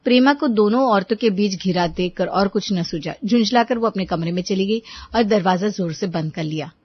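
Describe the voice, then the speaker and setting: Lady narrating a cartoon story, highish voice, no room echo, bit muffled. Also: normal speed, breathy edge.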